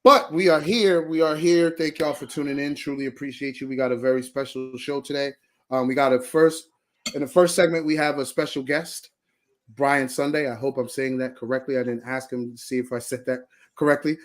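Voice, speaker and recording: A man's voice: mid-range voice, fairly even intonation, brisk. A man co-hosting a casual basketball talk show, upbeat and welcoming, thanking listeners and bringing on a guest. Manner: upbeat, talks over his co-host, says thank you often. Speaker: co-host. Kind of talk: casual podcast chat.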